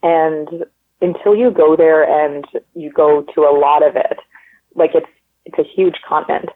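A woman's voice: low voice, fairly even intonation, unhurried. Guest: woman, chatty and rambling, phone-line audio. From Canada. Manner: rambling, many likes and ums, upbeat. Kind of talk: casual conversational interview.

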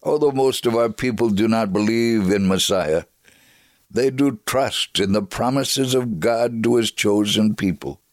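An actor as a low-voiced, solemn, devout storyteller. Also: male voice, a little slow, no echo, lightly acted. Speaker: dramatic reader. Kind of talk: scripted dramatic reading of a memoir.

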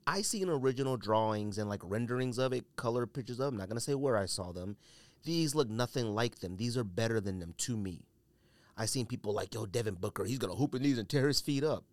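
A man's voice: medium pitch, expressive, fast talker, no room sound. An American man hosting a solo podcast, giving opinionated commentary in slangy, colloquial speech and repeating phrases for emphasis. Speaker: podcast host.

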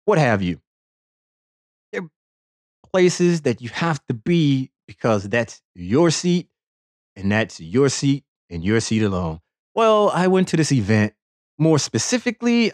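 A man's voice: mid-range voice, darkish tone, dry recording. Playful rambling man, dry sound. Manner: playful, repetitive, boastful riffing. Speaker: sports podcast host. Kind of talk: rambling comedic monologue.

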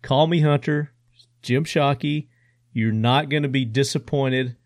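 A man's voice: mid-range voice, measured pace, dry recording, studio quality. A man hosting a podcast, agreeable and affirming, chiming in with short remarks. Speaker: podcast host. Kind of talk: podcast conversation.

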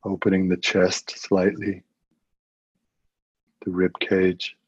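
A man with a low-pitched voice leads a guided meditation. He gives gentle instructions and leaves long, unhurried pauses between phrases. The recording is dry and somewhat muffled. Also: monotone, breathy edge, dry recording. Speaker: meditation teacher. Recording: muffled, dull lo-fi audio.

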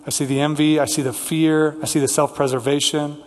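A man preaching a sermon as a pastor, speaking earnestly with deliberate repetition and lists. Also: a little high, little pitch movement, slight background noise. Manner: earnest, rhetorical repetition and listing. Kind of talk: sermon with prayer and scripture reading. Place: large reverberant church room. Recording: hall reverb, amplified church preaching.

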